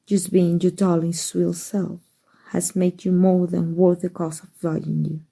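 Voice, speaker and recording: An ageless vampire lady of high standing, acted by a woman for an ASMR roleplay. Her voice sits slightly low and is extremely soft-edged and dark. She speaks with gentle, unhurried composure and a fond, genteel manner. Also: little pitch movement, gravelly, breathy edge, heavily acted. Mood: tender, doting. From Mexico. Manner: gentle, genteel, measured, affectionate. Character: kind, composed, centuries-old female vampire aristocrat.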